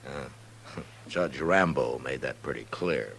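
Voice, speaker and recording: An American actor playing a stern, weary, principled Old West marshal in an old-time radio drama. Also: male voice, rumbling low, slow, gravelly, a bit bright, heavily acted. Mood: grave, firm. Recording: noisy old radio recording with hum.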